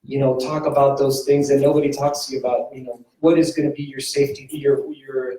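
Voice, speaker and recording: A man's voice: medium pitch, level tone, fairly quick, warm tone, distant mic. A man who is a palliative medicine physician, speaking earnestly on a panel and repeating words as he searches for them. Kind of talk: panel answer. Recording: roomy hall from off mic.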